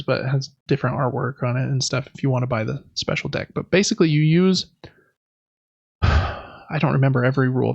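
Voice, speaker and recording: A man's voice: fairly high pitch, gravelly, no echo, muffled recording. A man chatting casually and enthusiastically as a podcast host. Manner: enthusiastic, informal. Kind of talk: casual board game podcast chat.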